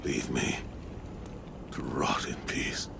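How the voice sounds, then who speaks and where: An actor playing a grieving, broken commander in a video game, speaking gravely and with anguish. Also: male voice, slow pace, background noise, lightly acted. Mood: anguished grief. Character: grieving, broken older male commander, solemn warrior. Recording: dramatic music behind the voice.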